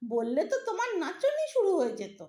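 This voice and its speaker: A woman's voice: very high-pitched, huge pitch swings, fairly quick, mellow, reverberant, full character voice. A woman playing an impatient but loving young married Bengali daughter in an audio drama, pleading and emotional, with a Bengali accent. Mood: playful protest.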